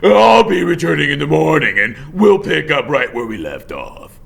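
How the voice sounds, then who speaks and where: Storybook beaver builder: excitable, stammering, bright and forceful, higher than the narrator. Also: male voice, normal speed, creaky, exaggerated voice. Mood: friendly, fumbling. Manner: stammering, hesitant, exclamatory.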